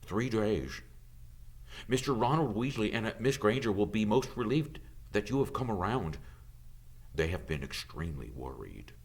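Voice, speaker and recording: A man voicing a kindly, calm old wizard headmaster in an audiobook, musing and hesitating as he gently reassures. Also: on the low side, normal speed. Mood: amused, genial.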